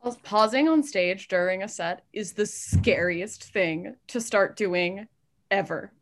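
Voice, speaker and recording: A woman's voice: medium pitch, slow pace. A woman comedian chatting casually on a podcast about stage timing.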